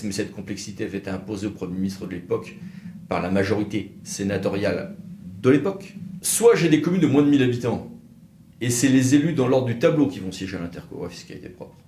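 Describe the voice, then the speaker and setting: A man giving explanatory legal commentary as an expert presenter, halting at times, with wry, sceptical asides. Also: lowish voice, a little mellow. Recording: roomy echo, faint background noise.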